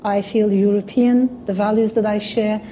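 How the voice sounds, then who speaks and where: A woman's voice: medium pitch, steady tone, moderate pace, mellow, a little hiss or hum. A woman from Turkey with a Turkish accent, speaking English as a second language in a thoughtful, earnest public talk. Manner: thoughtful, earnest, with small restarts. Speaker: guest speaker.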